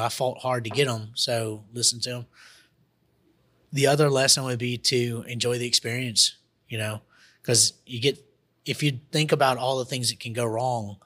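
A man's voice: mid-range voice, pushed and bright. A man with a Southern US accent, a guest talking business in a casual interview, full of restarts and fillers. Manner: halting, many restarts and fillers.

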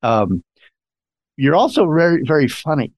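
A man's voice: fairly high pitch, big pitch swings, normal speed, no echo. A man hosting a casual interview, enthusiastic and rambling, restarting his sentences as he praises his guest. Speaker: host.

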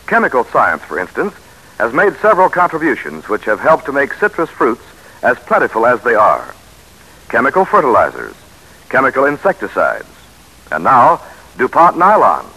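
A man's voice: medium pitch, huge pitch swings, moderate pace, pushed and bright, slightly rough, rough recording. An American man announcing in a polished, emphatic 1940s radio style, on an old broadcast recording. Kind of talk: 1940s radio announcing, scripted sponsor narration.

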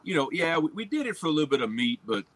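A man's voice: fairly high pitch, big pitch swings, very fast, fairly bright tone, a little hiss or hum. A man from Louisiana with a Cajun accent, chatting casually about fishing and often repeating his words as he starts a sentence. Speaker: guest angler on a fishing livestream. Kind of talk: casual chat.

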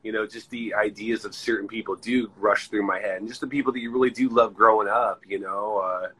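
A man in his forties chats casually as a podcast host, drifting from one story to the next and swearing freely. His voice is bright and forceful, with a husky edge. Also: on the low side, steady tone, a little fast, low-quality recording. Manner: rambling, profanity-laced storytelling. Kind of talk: casual chat.

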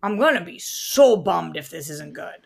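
A woman with a slightly low, very creaky voice co-hosts a movie talk show. She speaks casually and chattily, with plenty of informal slang. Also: animated, normal speed. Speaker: co-host of a movie news show.

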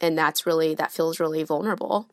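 A woman's voice: deep voice, steady tone, fast talker, dry recording, studio quality. A woman in her thirties answering interview questions openly and thoughtfully. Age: 30s. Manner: open, thoughtful, candid. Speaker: interview guest.

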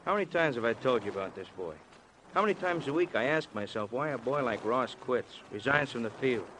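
An actor in an old-time radio drama playing a falsely friendly detective who needles with short, insinuating, repeated lines. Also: male voice, mid-range voice, lively intonation, a little fast, a bit bright, natural voice. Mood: chummy needling. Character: needling, falsely friendly male police detective, boxing fan. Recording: faintly noisy vintage radio recording.

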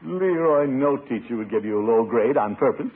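An actor playing a pompous but soft-hearted middle-aged uncle, theatrical and chuckling, in 1940s radio comedy style on an old broadcast. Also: male voice, medium pitch, animated, moderate pace, breathy edge, phone-quality sound. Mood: indulgent.

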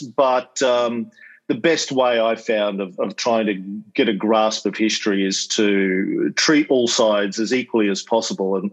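Australian male host, casual lecture with filler and dry asides, middle pitch, slightly dull call audio. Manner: chatty, lots of ums and uhs, wry asides. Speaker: podcast host giving a school lecture. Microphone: video call.

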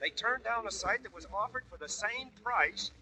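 A man's voice: sits high, expressive, normal speed, a crowd in the background. A man playing a cocky, wisecracking American newspaper reporter in a classic radio drama, with brash, slangy delivery. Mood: breezy, sardonic. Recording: tinny, noisy old radio recording.

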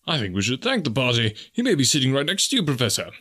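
A man's voice: medium pitch, wildly swooping pitch, quick pace, pushed and bright, slightly rough, pitched above the performer's own voice, full character voice. A man voicing a prim, anxious old secretary in an audiobook story, formal and earnest. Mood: nervous. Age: older.

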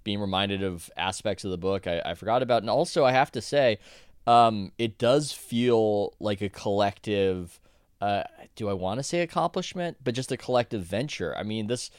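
A man's voice: fairly low pitch, animated, normal speed, clean tone, no room sound, clean studio sound. A man, an author guest on a podcast, talking casually in a rambling way with many restarts and self-corrections.

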